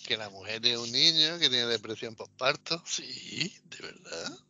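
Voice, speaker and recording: A man co-hosting a podcast, making deadpan, crude jokes in sarcastic casual chat about a film. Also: mid-range voice, unhurried, no room sound. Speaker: podcast co-host. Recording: dull lo-fi podcast audio.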